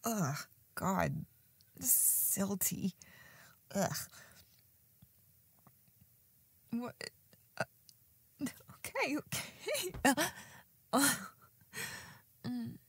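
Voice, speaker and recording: A woman playing a shy, tender young woman in an intimate romantic audio roleplay, with soft breathy speech that often drops to a whisper and a halting, flustered, affectionate manner. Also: fairly high pitch, big pitch swings, full character voice. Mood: flustered, playful. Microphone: close microphone, dry.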